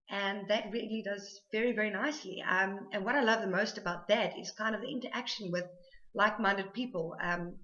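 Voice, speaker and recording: A woman's voice: mid-range voice, a bit bright, muffled recording. A South African woman chatting casually to camera for her vlog, rambling with lots of ums and restarts. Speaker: vlogger.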